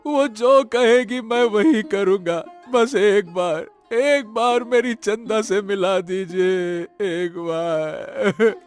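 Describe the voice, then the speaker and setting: A poor woodcutter husband full of remorse , acted by a man. He wails melodramatically in a high voice at a slow pace, in a cartoon tale with soft music behind. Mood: grieving, remorseful. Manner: wailing, repetitive, melodramatic.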